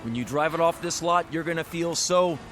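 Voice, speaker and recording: A man acting a high-pitched, pushy used-car huckster. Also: fairly quick, fairly bright tone, soft background music, natural voice. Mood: smooth, cajoling. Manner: bombastic, wheedling, florid sales patter. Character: pushy, glib used-car salesman, a folksy fast-talking huckster. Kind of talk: radio drama acting.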